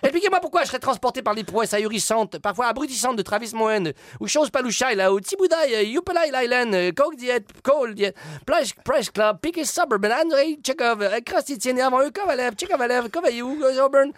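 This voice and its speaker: A man's voice: very high voice, expressive, a little fast, few pauses, a bit bright, dry recording, studio quality, voice pushed up. A Quebec man playing a pompous, haughty intellectual in a comic radio monologue, with theatrical, affected diction, indignant and rambling. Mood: outraged rant. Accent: Quebec French. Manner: theatrical, affected formal diction with crude slips.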